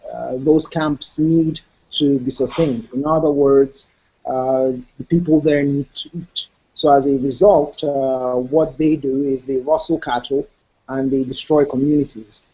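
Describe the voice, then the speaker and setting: A middle-aged man answering as a guest in a television interview, speaking in a measured, analytical way with frequent pauses. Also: medium pitch, warm tone, highs cut off. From Nigeria.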